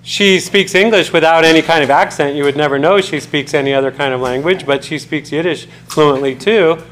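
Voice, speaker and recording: Conversational male lecturer, slightly high voice. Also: fairly quick, fairly bright tone, a little hiss or hum, rough recording. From United States. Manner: conversational, poses questions to students, loose with fillers. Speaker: college lecturer. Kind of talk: classroom lecture. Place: classroom.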